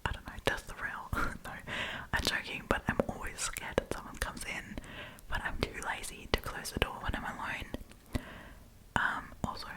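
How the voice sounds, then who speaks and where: A woman doing an ASMR storytime, chatting casually and informally with rambling asides.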